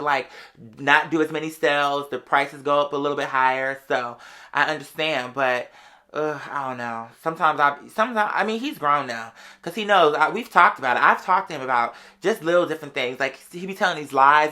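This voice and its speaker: Casting: late-twenties American, bright edgy tone, mid-register, sassy slangy casual storyteller. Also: mid-range voice. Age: late 20s. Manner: conversational and sassy, heavy slang, often interjecting "girl".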